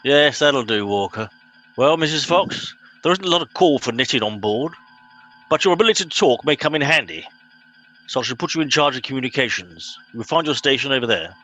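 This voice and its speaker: Man playing a pompous captain, bright forceful voice. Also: mid-range voice, expressive, soft background music, low-quality recording. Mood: stern. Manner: pompous, brisk, officious. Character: pompous, bossy middle-aged captain in command. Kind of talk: amateur comedy radio play.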